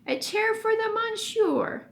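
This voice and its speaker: A woman voicing a theatrical boy from a storybook, announcing grandly in drawn-out phrases. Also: very high-pitched, expressive, gravelly, pitched above the performer's own voice, exaggerated voice. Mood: grand, showy. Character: showy theatrical boy presenting a dish grandly.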